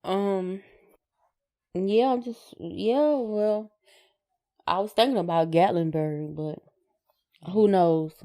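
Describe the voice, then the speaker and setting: A woman's voice: mid-range voice, slow, pauses often, mellow. A middle-aged American woman co-hosting a podcast, chatting casually in a tentative, colloquial way. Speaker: podcast co-host. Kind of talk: casual chat.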